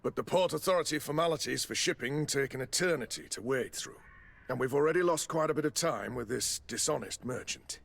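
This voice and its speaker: A man playing an officious, evasive dignitary in a video game, formal and careful, with a gravelly, husky voice. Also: mid-range voice, brisk. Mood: insistent. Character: officious, evasive male dignitary, smooth-tongued and insistent.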